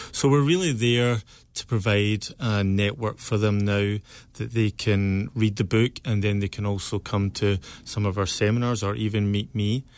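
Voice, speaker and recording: Earnest Scottish man, low voice. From Scotland. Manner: earnest, enthusiastic, measured. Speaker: guest, cancer survivor and author. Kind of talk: local interview.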